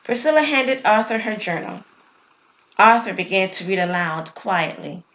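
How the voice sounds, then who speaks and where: A woman's voice: medium pitch, lively intonation, normal speed, a bit bright, echoey room, dull audio. A woman reading a scripted story as its narrator and storyteller. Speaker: storyteller, writer and performer.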